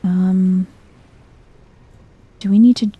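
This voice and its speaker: A soft-spoken woman giving chill, hushed ASMR commentary while thinking aloud through a video game. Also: medium pitch, fairly even intonation, slow pace, breathy edge, no echo, lo-fi sound.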